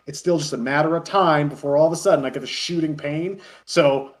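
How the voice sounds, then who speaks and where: A man's voice: on the high side, fairly quick. A man in his thirties chatting casually as an interview guest, telling rambling, chuckly stories. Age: 30s. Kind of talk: casual chat.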